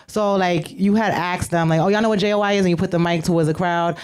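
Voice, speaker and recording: Blunt guy judging comics, talks real fast, slangy, mic in a club. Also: high voice, fairly even intonation. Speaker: comedian judge. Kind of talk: casual feedback. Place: comedy club.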